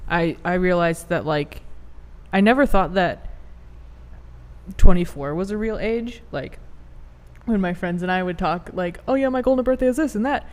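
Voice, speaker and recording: A woman's voice: lowish voice, normal speed, long pauses, a little mellow. A young woman in her early twenties co-hosting a podcast, talking casually with lots of "like" and incredulous swearing. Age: young adult. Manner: incredulous, swears, heavy use of "like". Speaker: podcast co-host. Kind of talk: casual chat.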